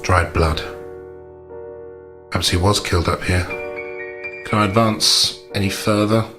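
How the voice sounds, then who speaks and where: A man with a fairly low voice takes his turn as a player in a tabletop game. He speaks casually, and guitar music is clearly audible behind him. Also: steady tone, measured pace.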